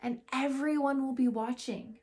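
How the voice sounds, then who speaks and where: A polite, careful little schoolgirl from a storybook , voiced by a woman reading aloud. The voice is raised and neat, with measured manners. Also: high voice, steady tone, a little mellow, voice pushed up, natural voice. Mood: worried. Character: careful, polite young schoolgirl who never errs.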